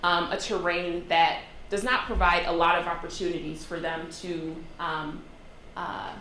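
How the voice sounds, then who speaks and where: A woman speaker closing her talk and taking audience questions in a relaxed, brief way. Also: lowish voice, a little slow. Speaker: seminar speaker. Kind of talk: academic talk and Q&A. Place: seminar room. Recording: echoey room with noise.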